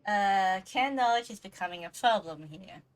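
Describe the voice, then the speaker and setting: A woman's voice: mid-range voice, lively intonation, normal speed. A woman livestreaming a video game, giving casual commentary as she plays. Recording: game music behind the voice. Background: video game music.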